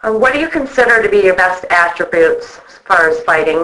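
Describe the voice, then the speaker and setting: A woman's voice: lowish voice, lively intonation, a little fast, sharp tone, poor audio. A woman asking questions in a casual phone interview, in an informal, chatty way.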